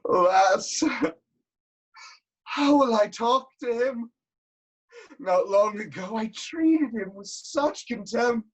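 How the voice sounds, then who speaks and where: A woman's voice: a little high, expressive, slow, pauses often. A woman playing an anguished, self-loathing blinded king in a declamatory, lamenting style of Greek tragedy. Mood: grieving. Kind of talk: theatrical Greek tragedy acting.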